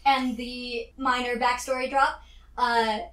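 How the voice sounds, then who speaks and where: A woman playing a tabletop role-playing game, narrating casually in an animated, giggly, rambling way. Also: a little high, takes it slowly, reverberant.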